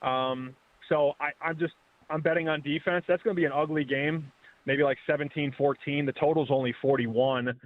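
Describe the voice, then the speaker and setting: A man in his thirties calling in as a betting guest, talking fast and casually, often restarting his words. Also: fairly high pitch, steady tone. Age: 30s. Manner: quick, restarts words, chatty. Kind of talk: casual sports betting chat. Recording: phone line.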